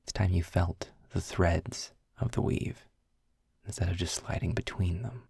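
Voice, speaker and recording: Casting: snarky, erudite demon played by a man for audio roleplay; very raspy, breathy voice with gravelly fry, weary and wry. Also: low-pitched, darkish tone, no room sound, heavily acted. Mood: dryly sardonic. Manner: sarcastic, wordy, dry, deliberate. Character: snarky, weary, erudite male demon guide trapped in hell. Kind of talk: intimate scripted audio roleplay, spoken to the listener.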